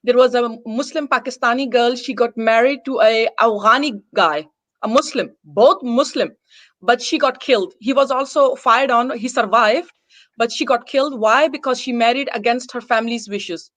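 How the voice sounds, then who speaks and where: Female interview guest from Denmark, fairly high voice, personal storytelling, dry sound. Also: moderate pace, fairly bright tone, no room sound. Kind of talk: personal account in casual interview.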